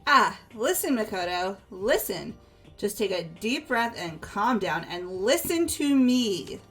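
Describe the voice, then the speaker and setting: A woman voicing a bubbly, excitable, athletic teenage girl in a fan game playthrough. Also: mid-range voice, slow, husky, faint music behind, exaggerated voice. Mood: eager. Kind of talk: amateur fully voiced game playthrough.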